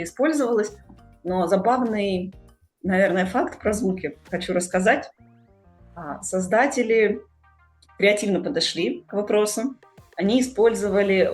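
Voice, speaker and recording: A woman's voice: on the low side, darkish tone. A woman hosting a podcast, talking casually with her co-hosts. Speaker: podcast host. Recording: music in the background. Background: music.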